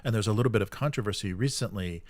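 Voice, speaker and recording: A man hosting a casual tabletop gaming livestream, musing and commenting in a rambling, halting way. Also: lowish voice, darkish tone. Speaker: host of a live tabletop gaming stream. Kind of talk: casual live commentary with reading of chat comments.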